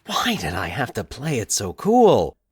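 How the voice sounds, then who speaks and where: Wistful, hesitant young guy, acted by a male narrator for an audio drama; earnest, widely varied pitch, close dry sound. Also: wildly swooping pitch, husky, no room sound, heavily acted. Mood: wistful, musing. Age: young adult. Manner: halting, earnest. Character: Mike, wistful, hesitant young man back home.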